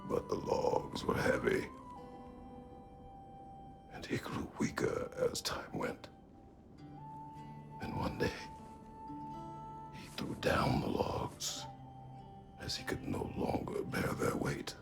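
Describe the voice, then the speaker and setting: An actor playing a gruff, stoic warrior husband in a video game, speaking in terse, solemn, gravelly phrases. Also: male voice, takes it slowly, long pauses, over music, close to an everyday voice. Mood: grave, brooding. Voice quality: very deep gravelly voice. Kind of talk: dramatic video game acting.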